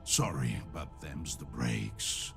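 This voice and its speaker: An actor playing a hard-nosed, gruff space mercenary in a video game, curt and threatening. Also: male voice, a bass voice, slow pace, heavily acted. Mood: firm, dismissive. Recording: music behind the voice. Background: game music.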